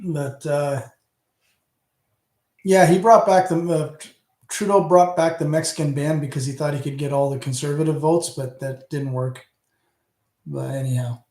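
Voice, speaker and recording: Middle-aged Canadian host, Southern Ontario accent, rambling livestream chat with a fairly high voice, recorded in a roomy space. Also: male voice, fairly even intonation, moderate pace, long pauses, darkish tone. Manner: rambling, talks back to chat, trails off. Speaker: channel host. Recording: roomy echo.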